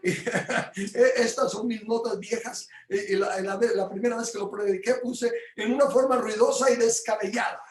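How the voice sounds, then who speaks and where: A man teaching his home group about praise in a casual but animated way, jumping into loud exclamations and stumbling over fillers. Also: sits high, normal speed, echoey room. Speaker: Bible teacher.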